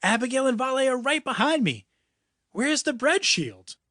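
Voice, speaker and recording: A man voicing an energetic young boy space explorer in a storybook, excitable and exclaiming, exasperated but loyal. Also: extremely high pitch, normal speed, no room sound, studio quality, pitched above the performer's own voice, heavily acted. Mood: exasperated, urgent.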